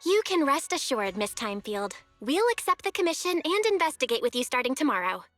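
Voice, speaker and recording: A woman's voice: high voice, very animated, fairly quick, fairly bright tone, soft background music. A woman playing a casual, frank and spirited young investigator sister in an anime-style game. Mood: reassuring.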